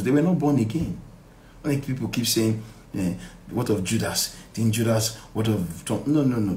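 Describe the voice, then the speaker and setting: A middle-aged Nigerian man leading a live Bible study as pastor, reading verses and explaining them emphatically and deliberately, with repeated phrases and rhetorical questions. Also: on the low side, a little mellow. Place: small indoor room. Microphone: phone at arm's length. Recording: echoey room, faint background noise.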